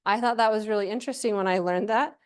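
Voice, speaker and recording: A woman's voice: mid-range voice, fast, darkish tone, smooth. A woman giving an informal workshop presentation to a live group, chatty and encouraging, often checking in with questions.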